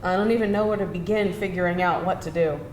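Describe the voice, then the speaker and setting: A woman's voice: fairly low pitch, fairly even intonation, fast, a little mellow, close to an everyday voice. A woman playing a vulnerable, anxious granddaughter with an unsure, hesitant delivery in a live screenplay reading. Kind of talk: live screenplay table read. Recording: roomy live hall, faint noise.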